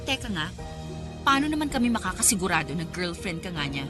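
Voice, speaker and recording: A woman playing an indignant, jealous, sharp-tongued partner in a Filipino radio drama. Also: on the low side, big pitch swings, brisk, music in the background.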